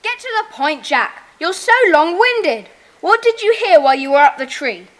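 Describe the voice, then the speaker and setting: A woman playing a confident, bossy schoolboy leader, brisk and decisive, in a children's audiobook drama. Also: high-pitched, big pitch swings. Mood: impatient.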